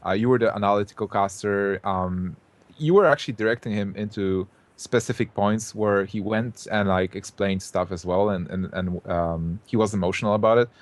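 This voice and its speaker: Low voiced guy, dry close mic, lots of ums and restarts, casual podcast. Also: moderate pace, no room sound. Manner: hesitant, many fillers and restarts.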